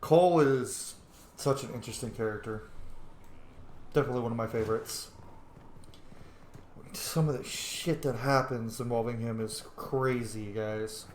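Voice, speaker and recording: A man's voice: medium pitch, measured pace, long pauses, slight background noise. A man giving casual playthrough commentary as a game streamer, informal, sweary and reactive. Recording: echoey room.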